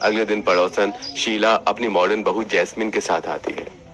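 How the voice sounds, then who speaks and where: A man's voice: lowish voice, steady tone, normal speed, fairly bright tone. A man delivering scripted story narration for a cartoon family tale.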